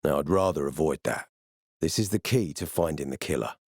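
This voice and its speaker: A male actor playing a stern, ambitious military commander in a video game, speaking in a measured, authoritative and formal way. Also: extremely low pitch, a little mellow, dry recording, clean studio sound. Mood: stern, threatening. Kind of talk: video game dialogue acting.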